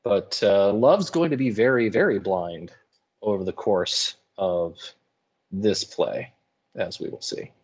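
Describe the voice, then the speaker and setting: A male teacher with a fairly low voice gives informal lecture commentary. He explains in a colloquial, playful way with wry asides. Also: slow pace, darkish tone, breathy edge. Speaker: high school English teacher.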